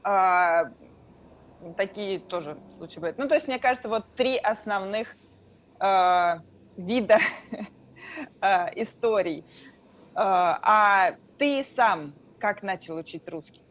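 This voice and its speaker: Woman from Russia, teacher, calm explaining, medium pitch, easy filler-laced talk. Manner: relaxed explaining with drawn-out "a" fillers. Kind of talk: casual interview.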